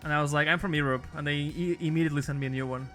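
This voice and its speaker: A man's voice: fairly high pitch, steady tone, very fast, a bit bright, soft background music. A man from Portugal chatting loosely on a live game stream, joking, swearing freely, and making playful meowing noises. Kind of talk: casual gaming stream chat.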